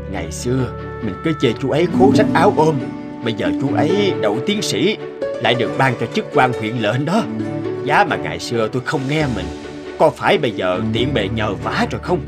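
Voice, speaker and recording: Man voicing cartoon village husband, kindly, reasoning, expressive pitch, prominent music. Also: brisk, lightly acted. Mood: regretful. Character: kindly, hard-up married male villager. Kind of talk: animated moral tale acting.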